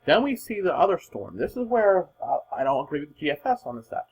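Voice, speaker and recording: A man giving informal, unscripted weather forecast commentary in an emphatic, husky voice, repeating points for stress. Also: on the high side, very animated, quick pace, darkish tone, poor audio.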